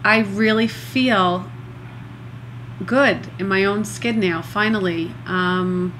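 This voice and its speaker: Slow, earnest woman with a stuffy nose. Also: mid-range voice, a bit bright, a little hiss or hum, rough recording. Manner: earnest, slow, many pauses and fillers. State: congested. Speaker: vlogger recovering from nose surgery. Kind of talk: casual diary-style video.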